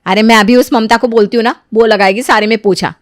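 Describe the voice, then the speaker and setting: A woman's voice: steady tone, fast, no room sound, clean studio sound, close to an everyday voice. A woman voicing a haughty, short-tempered young housewife who nags and scolds her maid in a dramatised moral story. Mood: bossy, curt. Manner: shrill, haughty, nagging.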